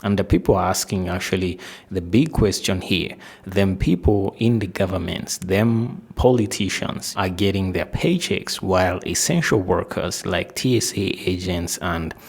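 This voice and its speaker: A man's voice: sits low, lively intonation, unhurried, creaky, darkish tone, slightly rough. A man giving casual news commentary as a video host, talking straight to viewers with rhetorical questions. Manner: conversational, addresses viewers directly with rhetorical questions.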